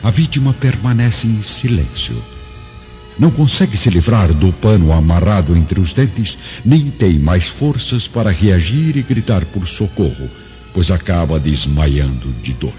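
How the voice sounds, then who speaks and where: A man's voice: deep voice, sing-song, measured pace, mellow. A man with a Brazilian accent giving solemn, formal, dramatic scripted narration for a radio play. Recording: dull audio with faint music behind.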